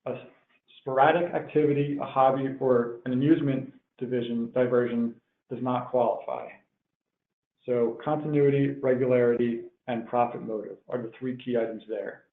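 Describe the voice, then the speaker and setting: A man presenting a technical webinar in a measured, explanatory way, as if working through prepared points. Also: medium pitch, steady tone. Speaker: webinar presenter, tax professional. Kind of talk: explanatory tax webinar lecture. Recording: tinny phone-like line, roomy echo.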